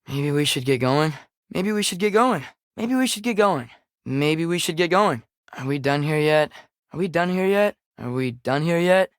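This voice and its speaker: A man's voice: fairly high pitch, no room sound, clean studio sound. A young man with an American accent voicing a restless, casual teenage boy adventurer in a video game, with a hesitant, understated delivery. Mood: impatient, restless. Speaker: game character voice actor. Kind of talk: video game companion voice lines.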